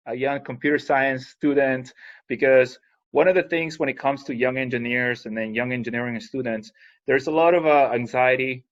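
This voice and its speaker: A man's voice: medium pitch, lo-fi sound. A man from Peru in his thirties, hosting an interview in a chatty, rambling way. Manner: chatty, rambling, quick asides. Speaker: podcast host. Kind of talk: casual interview talk.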